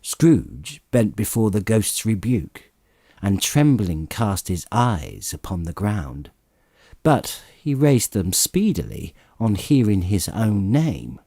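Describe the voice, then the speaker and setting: A man narrating a story as an audiobook reader, in a measured, expressive storytelling manner. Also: on the low side, vocal fry, dry recording, studio quality.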